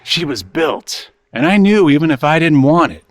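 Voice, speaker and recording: A man's voice: fairly high pitch, sing-song, moderate pace. Casually joking man, podcast co-host. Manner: jokey, acts out quotes. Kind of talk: casual comedic chat about a reality TV show.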